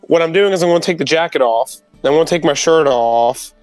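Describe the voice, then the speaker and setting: A man's voice: fairly high pitch, fast talker, no echo, soft background music. A man chatting casually as a player in a tabletop role-playing game.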